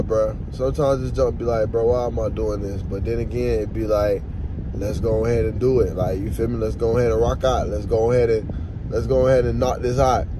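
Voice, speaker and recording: A twenty-year-old man, talking in a casual, laid-back motivational vlog from inside a car. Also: medium pitch. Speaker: vlogger. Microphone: phone held low at arm's length. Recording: phone recording with car noise. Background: car and road noise.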